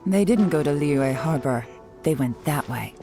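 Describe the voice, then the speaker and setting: A woman voicing a shrewd, composed investigator in an anime game, deliberate and self-assured. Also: sits low, expressive. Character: cool, shrewd woman investigator leading a covert inquiry. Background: game music.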